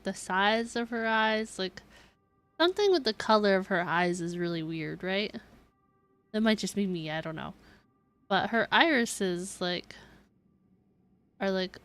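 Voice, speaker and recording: A woman's voice: fairly high pitch, a bit bright. A woman giving casual live commentary as she plays a game, chattily musing aloud. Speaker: game streamer.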